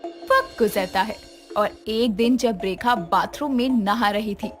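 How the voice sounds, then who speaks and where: A woman's voice: mid-range voice, measured pace, music in the background. A woman narrating a scripted moral story as its storyteller.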